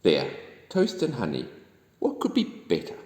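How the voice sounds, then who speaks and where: A man's voice: low-pitched, wildly swooping pitch, unhurried, a little mellow, heavily acted. A man with a New Zealand accent voicing a kindly, hospitable man in the moon from a children's storybook. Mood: pleased. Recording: echoey room with long reverb.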